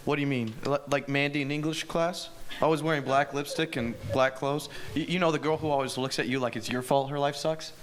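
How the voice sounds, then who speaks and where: A man's voice: on the high side, steady tone, brisk, a crowd in the background, close to an everyday voice. A man doing a wisecracking teen boy. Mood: flippant. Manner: joking, flippant. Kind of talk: live table read.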